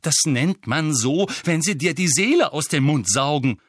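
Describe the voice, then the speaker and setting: A man's voice: a little high, animated, normal speed, no room sound, studio quality, voice pushed up. A man voicing an irritable, defiant teenage boy in an audiobook, curt and barely holding back his annoyance. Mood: exasperated. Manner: curt, through clenched teeth, barely restrained annoyance.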